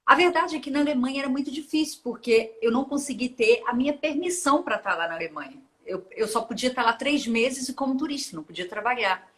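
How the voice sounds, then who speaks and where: A woman's voice: high voice, lively intonation, fast talker, few pauses. A woman in her forties with a Minas Gerais Brazilian accent, a swimmer chatting as an interview guest, rambling with restarts and laughing often. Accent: Minas Gerais Brazilian Portuguese. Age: 40s. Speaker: guest, open-water ultramarathon swimmer. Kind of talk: casual interview chat.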